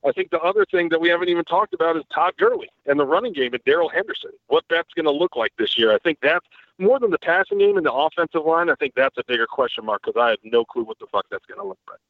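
A man's voice: a little high, expressive, fast talker, dry recording. Brief: male podcast guest, profane and joking, phone-line sound, dry. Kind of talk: casual sports podcast chat. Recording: phone-like remote call line.

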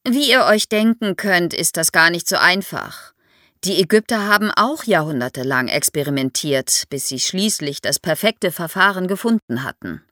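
A woman's voice: on the low side, expressive, normal speed, bright, forceful tone, no echo, studio quality. A woman explains history to young listeners as an expert guest, in a didactic way, posing questions as she goes.

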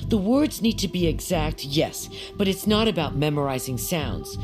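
A stern occult mentor speaks with a very low female voice, deliberate and exacting. She sounds like a demanding teacher in a fiction podcast, with clear music underneath. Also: very animated. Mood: insistent, stern. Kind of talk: audio drama acting.

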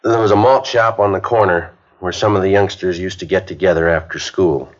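A man's voice: sits low, fairly even intonation, fairly quick, lightly acted. A man playing an earnest police sergeant. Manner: earnest, plain-spoken lecturing. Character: earnest male police sergeant, middle-aged, rehearsing. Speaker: police detective giving a speech. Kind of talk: 1950s radio drama acting. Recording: muffled 1950s radio broadcast.

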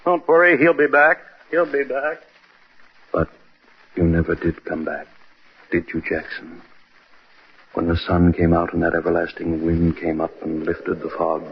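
A man playing an irritable, selfish husband and scientist in an old-time radio drama, impatient and blustery, with a husky voice. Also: low voice, unhurried, long pauses, heavily acted. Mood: grim, remembering. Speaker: radio actor. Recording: muffled old radio recording.

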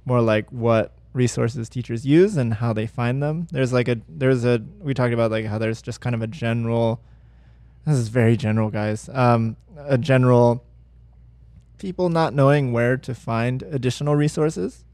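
A man's voice: mid-range voice, normal speed, a little mellow, no echo. A man co-hosting a casual chat, rambling with many fillers and restarts. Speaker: podcast co-host.

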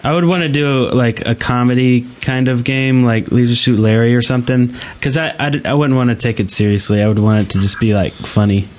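A man's voice: mid-range voice, steady tone, brisk, few pauses. A man co-hosting a podcast in loose, casual group conversation. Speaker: podcast co-host.

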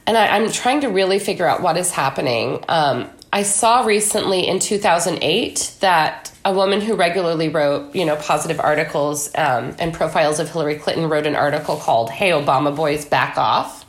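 A woman's voice: low voice, brisk, few pauses. A woman speaking as an interview guest in a conversational political discussion, with an emphatic, anecdotal way of talking. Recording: echoey room.

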